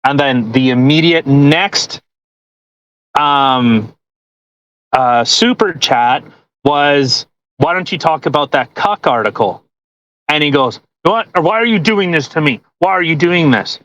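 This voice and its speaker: A man's voice: on the high side, animated, unhurried, a bit bright, poor audio. A man hosting a podcast in casual, mocking commentary with crude joking. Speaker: podcast host.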